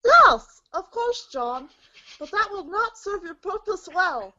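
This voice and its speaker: A woman's voice: extremely high pitch, big pitch swings, takes it slowly, pushed and bright, exaggerated voice. A woman voicing a fond, giggly old grandmother ghost who makes cryptic, theatrical proclamations in an amateur reader's theater play. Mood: doting, cryptic. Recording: dull lo-fi recording.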